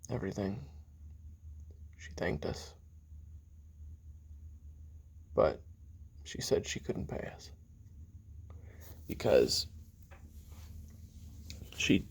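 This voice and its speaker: A man playing a weary, bitter, regretful assassin in an audio drama, confiding a halting memoir in a gravelly, husky voice that drops toward whispers. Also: a little mellow, exaggerated voice. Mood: grim, cold. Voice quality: very deep, gravelly, husky, often breathy. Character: weary, bitter, regretful male assassin recounting his youth. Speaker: voice actor in an audio roleplay. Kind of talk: confessional taped memoir monologue, audio drama.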